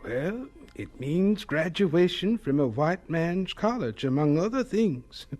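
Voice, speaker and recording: A man's voice: a little high, very animated, takes it slowly, a little mellow, faint music behind, exaggerated voice. An actor playing an earnest, humble young male scientist in a radio drama, polite and hesitant when flustered. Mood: reflective, reverent.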